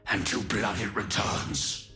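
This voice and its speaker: An ancient, immortal warrior from a fantasy game, stoic and menacing. The voice is rather low and forceful, delivered very slowly and solemnly. Faint music sits behind the weighty, declamatory lines. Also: male voice. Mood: solemn resolve. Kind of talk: dramatic video game character voice lines.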